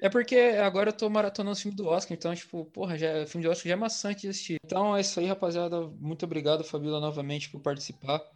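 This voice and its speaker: A man hosting a casual podcast chat about TV series, speaking Brazilian Portuguese in a relaxed, slangy way full of fillers. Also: sits high, very fast, hardly any pauses. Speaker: podcast host.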